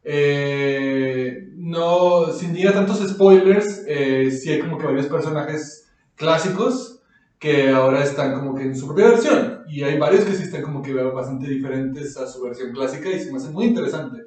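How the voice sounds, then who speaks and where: A man with a Mexican accent talks in a loose, slangy way as a podcast co-host. His voice is a bit high for a man. He sounds away from the microphone in a very reverberant room. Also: moderate pace, a little mellow. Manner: informal slang, occasional English words. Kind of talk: casual chat. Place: echoey makeshift studio, indoors. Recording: echoey room, distant from mic.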